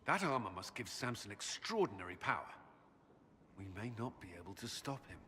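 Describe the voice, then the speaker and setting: An actor playing a grave, duty-bound military commander in a video game, earnest, measured and formal. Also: male voice, lowish voice, sing-song. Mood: disturbed, resolute. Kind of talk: dramatic video game cutscene acting. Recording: hall reverb with background noise.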